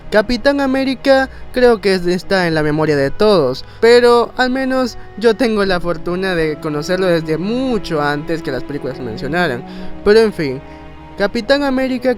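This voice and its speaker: Guy with a pretty high voice doing a chatty countdown narration, wordy, bit of music under it. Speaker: video narrator, content creator. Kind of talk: informal countdown video narration.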